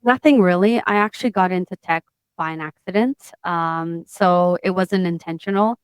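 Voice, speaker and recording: A woman in her forties, a tech founder from Portugal, telling her story in an interview in a steady, matter-of-fact way. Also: fairly low pitch, clean tone, no echo, low-quality recording. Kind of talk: interview conversation.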